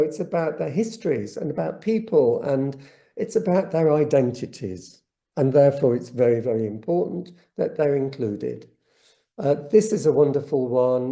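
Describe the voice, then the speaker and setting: A man's voice: mid-range voice, mellow. A man giving a keynote talk at an online conference. He lectures with enthusiasm in a loose, improvised way, often restarting his sentences, and speaks through a computer mic on a video call. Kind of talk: academic conference presentation.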